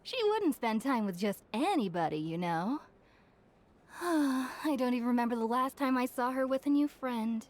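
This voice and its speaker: A chatty young tavern keeper in an anime game played by a woman. She has a high, very lively voice with a cheerful, teasing manner, over background music. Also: normal speed, slight background noise, close to an everyday voice. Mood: friendly. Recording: music behind the voice.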